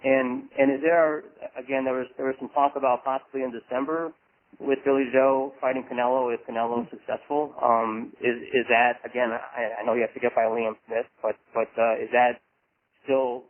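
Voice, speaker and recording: A man asking questions as a reporter on a press conference call, halting and repeating his words. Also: mid-range voice, level tone. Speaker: boxing reporter. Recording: thin phone line.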